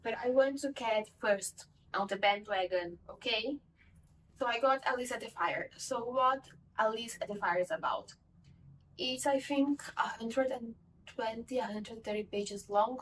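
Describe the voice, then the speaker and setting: A young woman with Portuguese as her first language talks through a book review in a loose, rambling way, often stopping to fix her words. Her voice sits slightly high. She is recorded at home from a camera placed a little away from her, with faint room ambience underneath. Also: unhurried, fairly bright tone, distant mic, a little hiss or hum, poor audio. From Portuguese-speaking country. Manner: chatty, rambling, self-correcting. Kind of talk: casual book review vlog.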